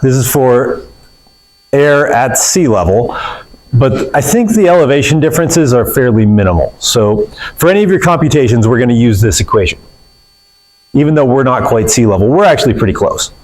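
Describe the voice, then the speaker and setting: A man's voice: mid-range voice, lively intonation, normal speed, darkish tone, poor audio. A man teaching a physics class in a classroom, explaining in a casual way with fillers and self-corrections. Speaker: physics instructor. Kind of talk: classroom lecture.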